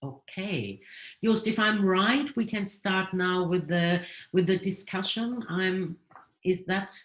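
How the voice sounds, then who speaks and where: A woman's voice: medium pitch, slow pace. Hesitant woman moderator in a roomy echo. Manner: hesitant, many fillers. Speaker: panel moderator. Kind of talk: online panel moderation.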